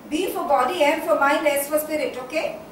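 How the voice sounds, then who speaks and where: A woman with an Indian accent acting an anguished, reflective figure in a declamatory, deliberate theatrical monologue on stage. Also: high-pitched, lightly acted. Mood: solemn, reflective. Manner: declamatory, deliberate, with dramatic pauses and repetitions. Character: anguished, reflective woman baring her soul in soliloquy. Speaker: stage actor. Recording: very echoey stage, distant mic, faint noise.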